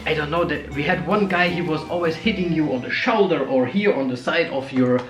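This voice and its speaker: A man's voice: fairly high pitch, faint music behind. Earnest young man, echoey room. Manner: earnest, halting, with restarts and soft laughs. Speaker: co-host. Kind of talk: casual chat about relationships.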